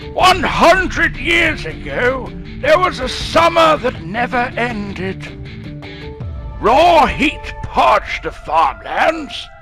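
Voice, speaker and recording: Man putting on a high, swooping, solemn fantasy storyteller. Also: exaggerated voice. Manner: slow, grave, dramatic declaiming. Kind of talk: scripted fantasy story narration. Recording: voice over music.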